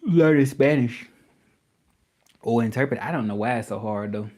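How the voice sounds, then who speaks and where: Man in his own livestream, mid-pitched, casual and repetitive, addresses viewers directly. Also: moderate pace, a little mellow. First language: English. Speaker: livestreaming creator and language tutor.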